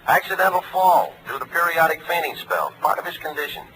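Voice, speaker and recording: An actor playing a chummy, matter-of-fact morgue clerk in a 1940s radio drama, clipped and folksy, on a slightly noisy old recording. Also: male voice, fairly high pitch, fairly quick, pushed and bright. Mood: breezy.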